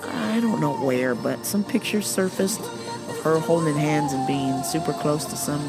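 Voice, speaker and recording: A woman hosting a solo podcast with a hoarse, worn-out voice, talking in a brash, profane, joking way over background music. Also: deep voice, measured pace. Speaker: podcast host.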